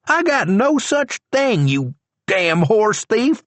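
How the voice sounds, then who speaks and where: A man voicing a nervous, stubborn young man with a pistol, who talks in a high, strained rural way. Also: wildly swooping pitch, takes it slowly, no room sound, full character voice. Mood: defensive.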